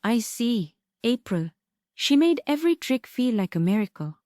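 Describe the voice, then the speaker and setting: Woman acting a high-voiced, wistful magical companion. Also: sing-song, moderate pace, darkish tone, no room sound, clean studio sound. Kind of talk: script table read, musical play.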